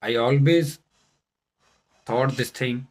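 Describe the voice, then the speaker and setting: A man with an Indian accent whose first language is Bundelkhandi addresses viewers in a mid-pitched voice. He speaks slowly and deliberately, breaking sentences into short chunks and leaning on key words. The style is that of a personal introduction to camera. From Madhya Pradesh, India. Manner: deliberate, halting phrasing with stressed words. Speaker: vlogger, English learner turned presenter. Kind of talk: self-introduction talk to camera.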